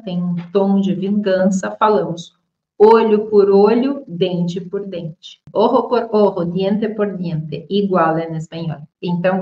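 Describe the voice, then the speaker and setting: A woman teaching a language lesson online, explaining idioms slowly with repeated examples. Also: medium pitch, darkish tone, roomy sound, dull audio. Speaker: Portuguese teacher.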